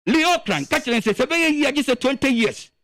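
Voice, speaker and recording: A Ghanaian man with a strikingly high, gravelly voice gives animated political commentary on a radio show. His pitch leaps around as he presses his points, repeating words for emphasis. The sound is close and dry. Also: brisk, dry recording.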